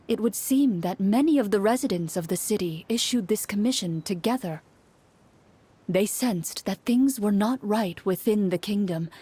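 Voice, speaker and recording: An actress playing a composed game master who narrates a tabletop adventure in a measured storytelling way. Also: female voice, mid-range voice, warm tone, husky. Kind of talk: scripted narration.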